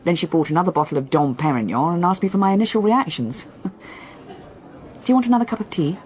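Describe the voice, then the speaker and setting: A woman's voice: fairly low pitch, lively intonation, a little fast, darkish tone, lightly acted. A woman acting in a radio drama as an earnest, restless reporter, articulate but hesitant and questioning. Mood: wry, chatty.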